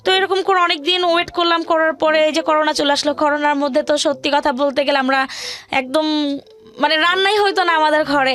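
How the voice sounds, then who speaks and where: A woman's voice: high voice, a bit bright. A young woman with a Bangladeshi accent telling a personal hardship story to an interviewer, in a rambling, colloquial way with repeated phrases. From rural Bangladesh. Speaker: guest telling her life story.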